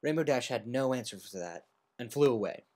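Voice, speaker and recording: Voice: mid-range voice, animated, brisk, darkish tone. An amateur narrator giving a semi-dramatic reading of a scripted story, occasionally stumbling over words.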